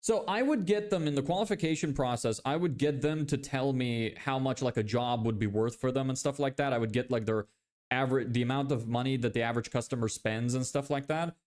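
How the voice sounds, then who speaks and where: A man in his twenties from Lithuania, with a Lithuanian accent, hosting a casual live stream. He reads viewer questions aloud and explains sales ideas in a relaxed way, with lots of fillers and restarts. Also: medium pitch, brisk. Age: 20s.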